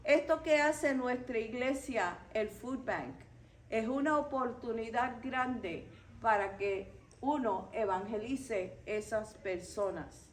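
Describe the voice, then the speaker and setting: A woman's voice: fairly high pitch, takes it slowly, a little hiss or hum, poor audio. A woman from Puerto Rico with a Puerto Rican accent, teaching a Bible study to a church group in a didactic way, stressing key points.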